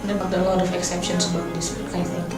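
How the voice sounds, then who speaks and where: A young woman from Indonesia who speaks Indonesian as her first language answers interview questions in relaxed English, giggling and trailing off with hesitations. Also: on the low side, fairly quick. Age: young adult. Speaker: interview guest, student. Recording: roomy echo with music behind.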